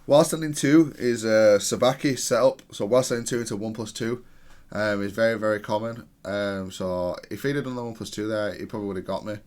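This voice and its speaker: A man with a fairly low voice talks through his own gameplay. His delivery is analytical and matter-of-fact, with a self-critical streak. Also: brisk, a little mellow. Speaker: gamer reviewing own match.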